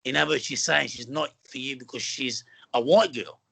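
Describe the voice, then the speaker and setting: Earnest Australian man, bright voice. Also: medium pitch, a little fast, creaky, slightly rough. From Western Sydney, Australia. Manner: earnest, rambling, many fillers and restarts. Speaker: film actor and guest. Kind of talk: casual interview conversation.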